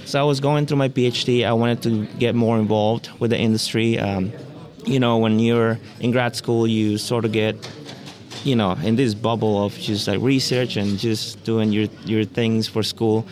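Young man from Honduras, lowish voice. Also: steady tone, normal speed, darkish tone. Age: young adult. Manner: earnest, self-introducing, with frequent fillers. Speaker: PhD student, podcast guest. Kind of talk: casual interview.

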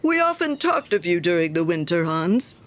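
A woman's voice: fairly low pitch, big pitch swings, moderate pace. A woman voicing a pompous, self-righteous male miller who talks in a smug, preachy way. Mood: self-satisfied.

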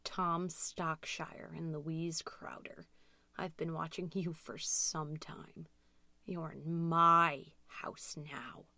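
Woman voicing a slow whispering dark creature, menacing. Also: little pitch movement, no room sound, full character voice. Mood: quiet menace. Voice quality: low, breathy whisper. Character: tall dark ghostly creature, menacing, taunting, imposing.

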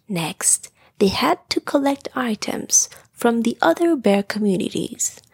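A woman narrates a children's bedtime story in a fairly high voice with husky, creaky edges. She reads slowly, and the recording is close and dry. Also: a little mellow, no echo.

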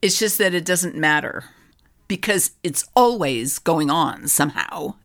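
Deep, creaky-voiced woman hosting an interview. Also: expressive, unhurried, fairly bright tone. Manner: enthusiastic, asks open questions. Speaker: interview host, co-founder. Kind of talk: interview conversation.

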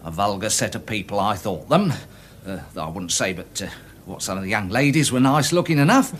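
A man's voice: fairly low pitch, expressive, a little fast, close to an everyday voice. An actor playing a respectable, embarrassed little Englishman giving evidence in a radio drama, prim, hesitant and stammering. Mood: sheepish, confessional.

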